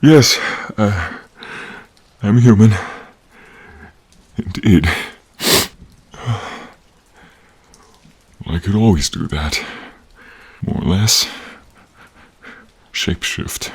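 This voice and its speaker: A man voicing a protective, tender dragon in human form, speaking earnestly and with feeling in an audio role-play. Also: full character voice. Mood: relieved, flustered. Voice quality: deep, husky, breathy. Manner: earnest, emotional, caring.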